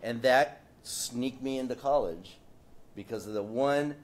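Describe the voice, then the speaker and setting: A man on a discussion panel telling a lively personal story in a casual, animated way. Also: medium pitch, a little slow.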